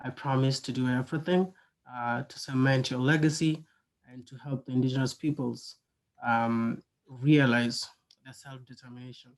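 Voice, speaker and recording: A soft-spoken man speaks on a video call, halting and hesitant as he shares memories. His pitch is ordinary and his tone is gentle and plain. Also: fairly even intonation. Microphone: video call microphone.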